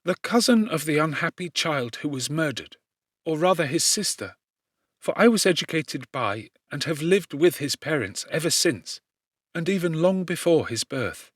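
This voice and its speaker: A man voicing a brave young gentlewoman in an audiobook, speaking formally and firmly in a friend's defence. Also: on the high side, no echo, clean studio sound. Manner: formal, earnest, firm. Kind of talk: audiobook character reading.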